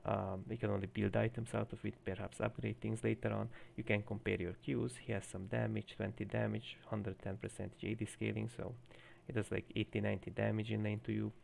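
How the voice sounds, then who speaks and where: Gravelly Hungarian man coaching. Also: fairly low pitch, level tone, a little fast, a little mellow, a little hiss or hum. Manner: explanatory, many fillers like "you know". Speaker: game coach. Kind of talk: live-streamed coaching session.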